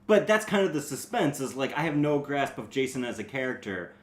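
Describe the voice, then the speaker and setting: A man chatting casually and jokingly as a co-host, excitable and peppering his talk with "like". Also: medium pitch, brisk, slight background noise. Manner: excitable, filler-heavy with frequent "like". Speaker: co-host and reader. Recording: roomy echo, people behind.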